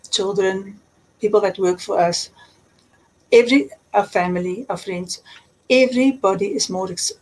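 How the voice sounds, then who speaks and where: A woman's voice: medium pitch, darkish tone, rough recording. A woman with a South African accent, a coach giving earnest advice in an interview. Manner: earnest, advisory, repeats words. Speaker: guest, transformational coach. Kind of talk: interview answers, explaining.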